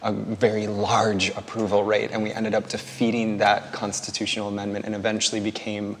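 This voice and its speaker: A man in his twenties from Minnesota, calmly recounting his experience in a group discussion. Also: on the low side, brisk, a little hiss or hum. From Minnesota, USA. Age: 20s. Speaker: documentary filmmaker, discussion participant. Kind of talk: debate discussion. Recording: roomy hall reverb, faint ambience.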